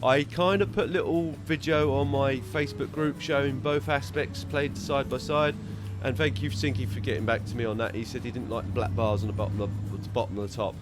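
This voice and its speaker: A man's voice: medium pitch, steady tone, brisk, few pauses. A man, stuffed up from a cold, giving casual, rambling commentary over a truck driving game. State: congested from a cold. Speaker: gaming video creator. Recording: voice over loud game audio. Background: truck driving game sounds and music.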